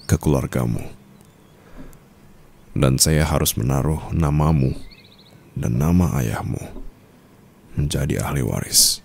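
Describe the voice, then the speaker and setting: A man's voice: creaky, a little mellow, slight background noise, heavily acted. A man playing a calculating, coolly dominant mafia boss husband in an intimate ASMR roleplay, speaking in a teasing, smug manner. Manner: slow, teasing, smug, with low chuckles and hums.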